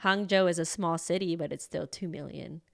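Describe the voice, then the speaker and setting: A woman's voice: lowish voice, brisk, creaky. A woman, co-hosting a podcast and chatting casually about her path into acupuncture, with lots of fillers and laughs. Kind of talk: casual chat.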